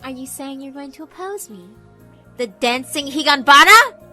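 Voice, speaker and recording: Wicked yokai girl villain, high voice, taunting and drawn out, horror visual novel, music behind. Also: expressive, sharp tone, exaggerated voice. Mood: gleeful, mocking. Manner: taunting, haughty, drawn-out theatrical delivery.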